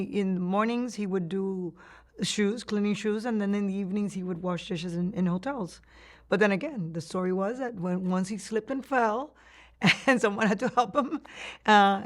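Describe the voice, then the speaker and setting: Cuban-born woman recalling her past. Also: medium pitch. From Cuba. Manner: reflective storytelling with frequent restarts and laughs. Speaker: interviewee. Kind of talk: oral history interview.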